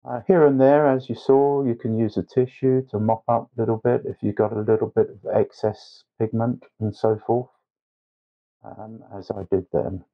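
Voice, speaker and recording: A man narrating a painting tutorial step by step as a tutor, unhurried and with hesitant pauses. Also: fairly low pitch, fairly even intonation, highs cut off. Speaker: watercolour tutor.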